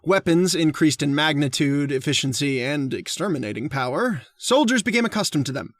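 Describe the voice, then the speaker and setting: An actor playing a mild, hesitant gentleman who hunts people for sport, in a radio drama. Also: a little high, animated, a little fast, dry recording, natural voice. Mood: reciting, distracted. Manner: polite, hesitant.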